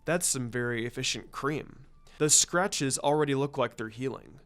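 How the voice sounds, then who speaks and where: A man voicing a laid-back, teasing ninja teacher in a casual, unhurried, cool manner. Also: medium pitch, a bit bright, faint music behind, voice pushed down, natural voice. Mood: calm, probing.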